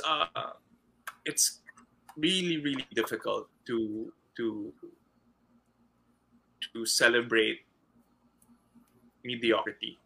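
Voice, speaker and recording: A man's voice: mid-range voice, creaky, sharp tone, low-quality recording. A man from the Philippines with a Filipino accent, hosting a casual conversation and talking thoughtfully, often stopping to search for words.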